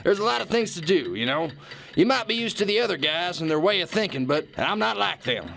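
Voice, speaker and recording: High-voiced, creaky, bumbling boss man. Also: very animated, bright, forceful tone, exaggerated voice. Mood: smug, pleased. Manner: folksy, halting, self-important. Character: bumbling, self-satisfied new boss, a folksy politician heir. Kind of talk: radio theater acting. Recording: noisy radio drama recording.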